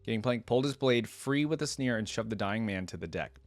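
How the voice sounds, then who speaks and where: A man with a fairly low voice, recorded close and dry with little room sound. He talks as a reaction video host, conversational and casual whether commenting or reading from a text. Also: expressive, fairly quick, no echo.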